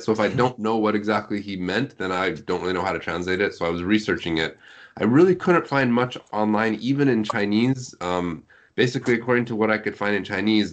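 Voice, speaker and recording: Guy with a deep voice explaining stuff casually on a podcast call, modest about himself. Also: slight background noise. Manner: self-deprecating, explanatory. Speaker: guest, literary translator. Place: at home on an internet call.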